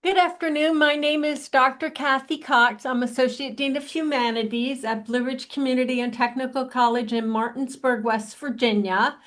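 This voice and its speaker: A somewhat higher-voiced woman speaks as a college dean and administrator. Her delivery is chatty and friendly, typical of remarks on a webinar panel. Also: steady tone, moderate pace, hardly any pauses, a bit bright.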